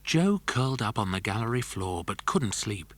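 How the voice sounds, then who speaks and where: A man's voice: fairly low pitch, sing-song, moderate pace, a bit bright, slight background noise. A man narrating a scripted children's adventure story.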